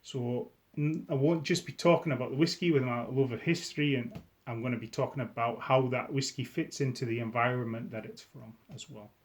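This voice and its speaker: A man's voice: mid-range voice, fairly even intonation, a little fast. A middle-aged Scottish man with a Scottish accent, chatting casually to camera about whisky as a vlogger, easygoing, with frequent restarts. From Scotland.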